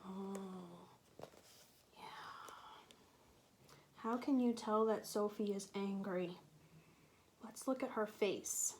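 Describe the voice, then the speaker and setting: A woman with a medium-pitched voice reads a picture book aloud to young children at a slow pace. She talks like a teacher, stopping to ask simple questions and patiently explaining, with pauses left for answers. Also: darkish tone, a little hiss or hum.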